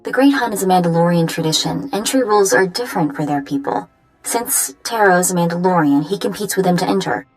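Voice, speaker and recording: A woman playing a brisk young sidekick in a video game, casual, wry and confiding. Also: fairly low pitch, soft background music, close to an everyday voice, low-quality recording. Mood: businesslike.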